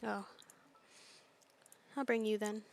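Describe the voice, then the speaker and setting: A woman's voice: medium pitch, steady tone, slow, creaky. A woman giving casual, playful live commentary as she plays a video game, dropping in cutesy little interjections. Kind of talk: casual gameplay commentary, chatting to herself.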